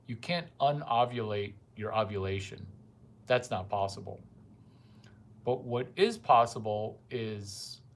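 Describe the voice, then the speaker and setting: Male vlogger, mid-pitched, unhurried, rambling and crude, casual monologue. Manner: rambling and crude, with profane asides.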